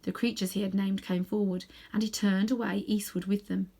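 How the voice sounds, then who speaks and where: A woman's voice: mid-range voice, level tone, fairly quick, vocal fry, mellow. A woman reading a storybook aloud, narrating expressively with casual asides to the listener. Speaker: storybook reader.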